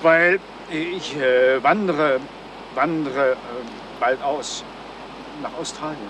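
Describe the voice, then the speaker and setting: Shifty, halting man, traffic noise. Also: a little high. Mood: evasive. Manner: halting, evasive. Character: shifty, hesitant male street dog seller. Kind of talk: German radio crime comedy acting, 1970s. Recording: 1977 radio play, street traffic noise.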